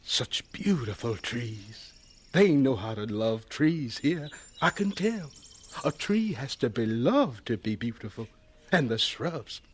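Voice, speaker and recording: An actor playing a kindly, absent-minded elderly gentleman scientist in a radio drama, hesitant and given to repeating words as he rhapsodizes. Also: mid-range voice, big pitch swings, slow pace, slightly rough, exaggerated voice. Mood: enchanted. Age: older. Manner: hesitant, repeating words, rapturous about nature. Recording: noisy old radio drama recording.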